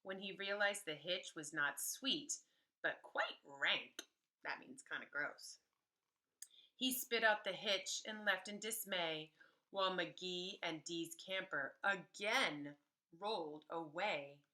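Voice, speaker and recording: Female preschool teacher storyteller: slightly low, bright voice, unhurried and emphatic read-aloud for small children. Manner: animated, emphatic, pausing to explain to children.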